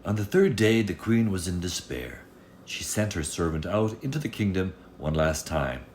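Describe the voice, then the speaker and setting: A man telling a fairy tale aloud as an expressive storyteller. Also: low voice, normal speed, a little hiss or hum.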